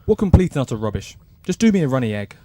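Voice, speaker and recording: A man's voice: mid-range voice, big pitch swings, fast, dark tone, close to an everyday voice. A man playing a demanding, self-important customer in a radio comedy, indignant and insistent. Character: demanding, self-important male boy-band pop star and complainer. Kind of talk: spoof docu-soap radio comedy acting.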